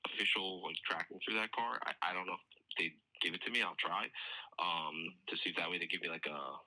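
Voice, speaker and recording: A man's voice: low-pitched, fairly even intonation, brisk, pushed and bright. A man talking informally as a police detective on a recorded work phone call, explaining things in a hedging, conversational way.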